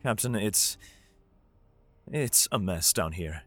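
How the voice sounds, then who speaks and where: A man's voice: low-pitched, animated, takes it slowly, a bit bright, full character voice. A man playing a desperate, protective father fleeing underground in an audio drama, who also narrates in the first person. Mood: shaken.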